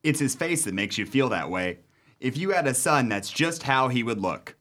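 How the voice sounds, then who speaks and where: An actor playing an impatient, shady burglar with a gruff, curt manner in a radio play. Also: male voice, medium pitch, lively intonation, normal speed, a bit bright, natural voice. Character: brusque, impatient, shady male burglar buying a dog.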